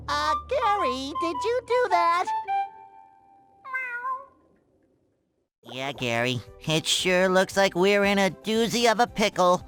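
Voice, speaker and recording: A man voicing a childlike, eager and naive sea sponge in a cartoon video game, earnest and gleeful. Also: very high-pitched. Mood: excited. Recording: music bed behind voice.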